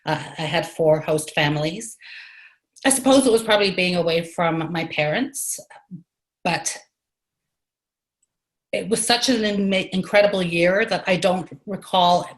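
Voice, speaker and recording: A woman from Zimbabwe answering panel questions in a relaxed way over a video call. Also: lowish voice, a little slow, pauses often. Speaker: former exchange student.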